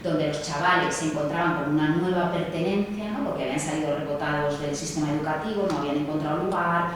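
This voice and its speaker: Woman lecturer with a low voice, halting, with fillers and tag questions, heard in a very echoey room. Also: crowd noise. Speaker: sociologist speaking at a seminar. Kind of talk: seminar talk. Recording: echoey distant room mic, faint ambience.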